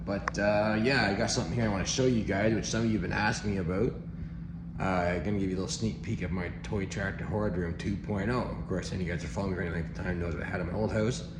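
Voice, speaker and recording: Hoarse man rambling in an echoey basement. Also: low voice, fast, background noise. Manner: relaxed, rambling, chuckles often. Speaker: vlogger. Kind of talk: casual walkthrough chat. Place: home basement under renovation.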